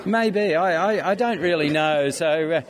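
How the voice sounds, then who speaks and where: A man's voice: fairly high pitch, measured pace. Rambling Australian man amid crowd chatter. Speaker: interviewee, skeptics convention organiser. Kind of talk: casual chat. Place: busy convention venue.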